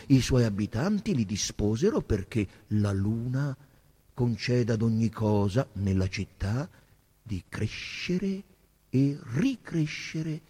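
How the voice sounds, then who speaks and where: An actor, a man, playing a courteous traveller who tells tales to his sovereign in a measured, husky theatrical reading, savouring and drawing out phrases. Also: mid-range voice, warm tone, pitched below the performer's own voice, exaggerated voice. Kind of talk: theatrical literary stage reading.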